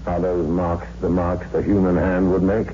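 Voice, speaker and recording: An actor playing a grave, secretive man in an old-time radio horror drama, speaking in a measured, formal, ominous way. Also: low voice, level tone, dark tone, lightly acted. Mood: ominous, explanatory. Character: grave, secretive brother guarding a dark family secret. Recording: dull, hissy old radio recording.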